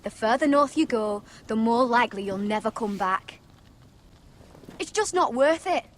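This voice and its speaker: A woman playing a young, blunt and suspicious wildling in a fantasy video game, sharp-tongued, commanding and protective. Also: on the high side, lively intonation, moderate pace, slight background noise, natural voice. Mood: stern, distrustful. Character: blunt, suspicious, protective free-folk sister. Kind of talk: dramatic video game acting.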